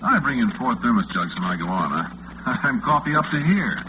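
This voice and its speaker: Lonesome, affable American graveyard-shift disc jockey played by a man, 1940s radio drama, chatty and hesitant, animated pitch, narrow phone-like sound. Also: brisk, natural voice. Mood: wry, chatty. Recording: muffled, noisy 1940s radio recording, phone-like band.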